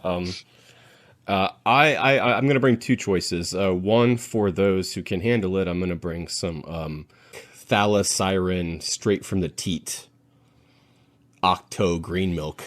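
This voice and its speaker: A man's voice: deep voice, slow. A man chatting casually and playfully as a co-host on a podcast panel, savoring his descriptions.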